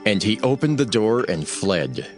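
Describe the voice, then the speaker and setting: A man serves as narrator of a dramatized scripture recording. His voice sits fairly low and moves expressively across a wide range. Music plays clearly underneath. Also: sing-song, measured pace.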